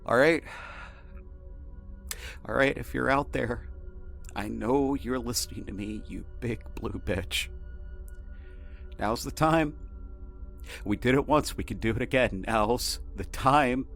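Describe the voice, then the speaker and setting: A man playing a weary, irreverent captive in an audio drama, earnest and sardonic, with halting casual speech. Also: medium pitch, creaky, fairly bright tone, faint music behind, exaggerated voice. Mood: urgent, defiant.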